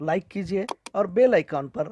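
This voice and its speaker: A woman's voice: medium pitch, animated, normal speed, lo-fi sound, background noise. A woman reading a scripted channel promo as an announcer. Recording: loud music behind the voice.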